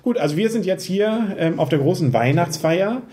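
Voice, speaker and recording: A man's voice: high-pitched, expressive, normal speed, dark tone. A man hosting a board game podcast, chatting and joking casually with colleagues at a party. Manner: joking, chatty, lots of fillers. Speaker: podcast host. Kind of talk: casual chat. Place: office Christmas party room.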